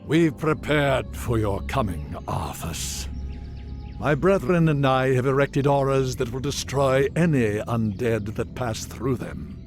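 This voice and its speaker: Guy acting as a stern wizard leader, slow formal wry delivery, music under it, game cutscene. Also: mid-range voice, close to an everyday voice. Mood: stern warning. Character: dignified, stern male archmage, wry and defiant.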